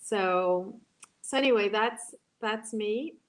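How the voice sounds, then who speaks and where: A woman, moderating an online panel and talking in a halting way, full of ums and restarts. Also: medium pitch. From Brazil.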